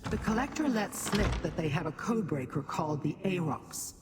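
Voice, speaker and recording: A haughty demon lady, commanding, lively pitch, game ambience clear behind. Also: moderate pace, heavy background noise. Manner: cool, commanding, formal.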